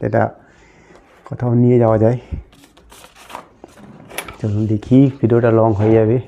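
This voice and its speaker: Low, dark-voiced man. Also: flat delivery, normal speed, muffled recording, slight background noise. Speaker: host of an unboxing video channel. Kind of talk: casual product unboxing commentary.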